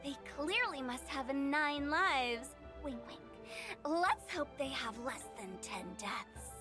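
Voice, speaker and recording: Impish young girl director, very high pitch, theatrical and teasing, anime game. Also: expressive, slow pace. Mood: sly, theatrical. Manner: teasing, theatrical, rhyming wordplay. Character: mischievous, theatrical teenage girl director, playful and wordy. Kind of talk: anime video game voice acting. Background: game music.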